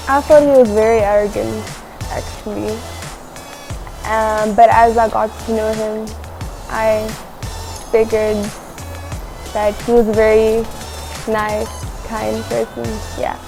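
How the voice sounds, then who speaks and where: A young woman of eighteen answers briefly and without fuss, her voice a touch high but very flat in its melody. Soft background music sits behind her. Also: slow pace, vocal fry, long pauses, poor audio. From South Africa (Eastern Cape). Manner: brief, low-key answers. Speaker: first-year social science student, interviewee. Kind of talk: casual interview answers. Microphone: headset.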